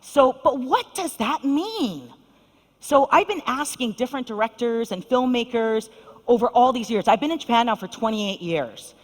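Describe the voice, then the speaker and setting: Middle-aged female panel moderator, upbeat, talks straight to the crowd; higher voice, reverberant hall. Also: lively intonation, moderate pace. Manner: upbeat, addresses audience directly. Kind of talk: conference panel introduction. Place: conference hall stage. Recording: long reverb of a large hall.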